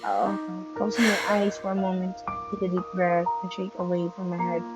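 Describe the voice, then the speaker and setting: A woman's voice: fairly low pitch, flat delivery, normal speed. A woman gamer reading visual novel narration aloud, stumbling now and then and adding asides. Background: loud piano music from the game.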